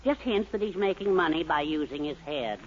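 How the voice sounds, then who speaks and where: An actor playing a meek, long-suffering middle-aged husband, mild and fussy, in 1940s radio sitcom style. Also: male voice, fairly high pitch, expressive, moderate pace, natural voice. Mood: resigned. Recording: muffled, noisy old radio recording.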